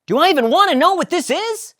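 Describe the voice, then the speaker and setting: A woman's voice: very high-pitched, sing-song, quick pace, a bit bright, pitched above the performer's own voice, full character voice. A woman voicing a sarcastic, incredulous man. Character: exasperated sarcastic man, comic lead.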